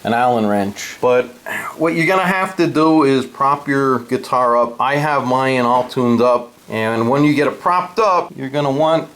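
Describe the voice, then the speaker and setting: A man's voice: mid-range voice, measured pace, a bit bright. A man narrating a casual do-it-yourself tutorial in a plainspoken, informal way, explaining things step by step. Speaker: host of a DIY guitar how-to video.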